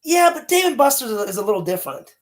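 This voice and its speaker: A man in his late thirties, co-hosting a casual chat with a loud, energetic, rambling way of talking. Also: very high-pitched, very fast. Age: 30s. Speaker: podcast co-host.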